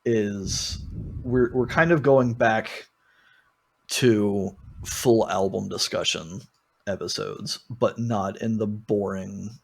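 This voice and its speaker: A man's voice: on the low side, slow pace, vocal fry, slightly rough. A man hosting a podcast in a casual, rambling and informal way, talking through music with frequent asides. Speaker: podcast host. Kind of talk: casual album discussion.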